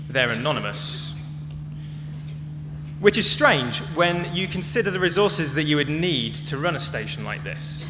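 A man speaking as a conference presenter, explaining things in a prepared public talk. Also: fairly high pitch. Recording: echoey hall with clear hum and noise.

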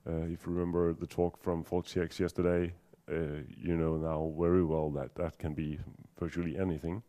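A man's voice: low-pitched, little pitch movement, normal speed, warm tone. A man from Denmark with a Danish accent giving a technical conference talk, hesitant with many ums and restarts and a dry humor. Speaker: conference speaker.

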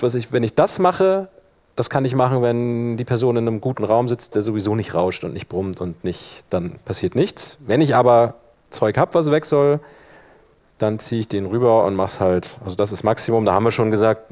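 A man leading a workshop, explaining informally and thinking aloud while he operates the software. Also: medium pitch. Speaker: workshop instructor.

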